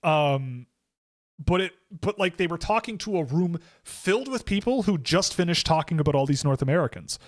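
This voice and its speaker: A man hosting a live stream in a loose, rambling way, trailing into fillers and doubling back on himself. His voice sits on the higher side, and the sound is close and dry, with no room echo. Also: lively intonation, moderate pace. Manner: rambling and conversational, many fillers and restarts. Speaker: host of a hockey draft livestream. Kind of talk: casual livestream commentary answering chat.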